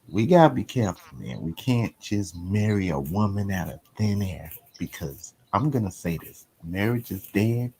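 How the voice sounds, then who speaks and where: A man's voice: lowish voice, creaky, darkish tone, breathy edge, rough recording. A man giving blunt, casual opinions as a guest on a panel. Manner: blunt, declarative.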